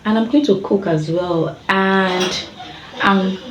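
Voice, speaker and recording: A young Nigerian woman with a husky voice and a sore throat, chatting casually to camera and laughing between sentences as a family vlogger. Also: medium pitch, takes it slowly, over music. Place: room at home, indoors. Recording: echoey room with background noise.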